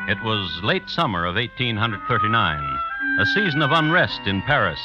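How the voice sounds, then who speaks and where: Droll crime storyteller, low lively voice, deliberate delivery, vintage radio, loud music behind. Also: male voice. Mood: droll, measured. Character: droll male connoisseur of crime, storytelling host. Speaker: host and narrator. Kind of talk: 1950s radio drama narration. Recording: muffled old radio recording with music.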